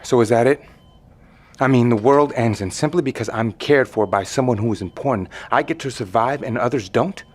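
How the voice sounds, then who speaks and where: A man's voice: medium pitch, brisk, lightly acted. A man playing an indignant male survivor in custody who speaks in a skeptical, challenging way, in an audio drama.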